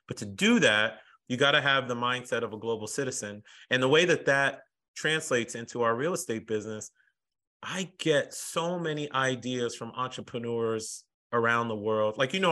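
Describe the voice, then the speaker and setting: A man's voice: medium pitch, normal speed. An American man talking energetically and emphatically in a podcast interview as the guest. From United States. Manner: energetic, emphatic, calls people brother.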